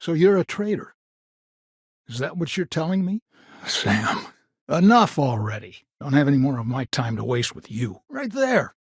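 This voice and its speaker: A grizzled older man, stern and proud, as a video game patriarch. His voice is gravelly and husky, recorded close and dry. He speaks curtly and gruffly, in folksy frontier phrases. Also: fairly high pitch, very animated, normal speed, dry recording, heavily acted. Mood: angry, scolding. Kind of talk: dramatic video game dialogue acting.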